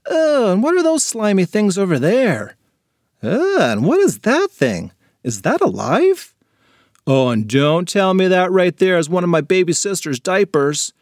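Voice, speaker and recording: A man's voice: sits high, very animated, a little slow, dry recording, clean studio sound, pitched above the performer's own voice. A man reading a children's story, voicing a lazy, cheeky boy who loves TV. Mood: disgusted.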